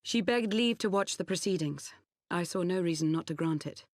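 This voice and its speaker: A woman's voice: on the low side, normal speed, darkish tone, no echo, studio quality, natural voice. A woman playing a composed, loyal officer in a fantasy game, speaking formally and with reserve. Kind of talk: scripted fantasy game acting.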